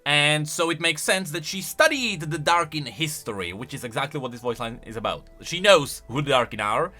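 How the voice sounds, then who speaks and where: A man's voice: on the high side, expressive, normal speed, bright, forceful tone, faint music behind. A man giving casual video commentary on game lore as a YouTuber, rambling along and stretching words for emphasis.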